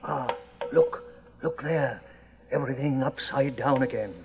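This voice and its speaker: Actor as colonial governor on tinny old radio. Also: male voice, mid-range voice, unhurried, over loud music, close to an everyday voice. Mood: tense, commanding. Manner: formal, courteous. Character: dignified British colonial governor, troubled but resolute. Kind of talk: old-time radio drama acting. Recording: tinny old narrow-band radio recording.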